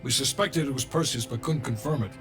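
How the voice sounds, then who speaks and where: An actor playing a guarded, unrepentant CIA official in a Cold War video game, speaking in a cold, measured, defensive way. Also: male voice, mid-range voice, over music, close to an everyday voice. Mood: measured explaining. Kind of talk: video game cinematic acting.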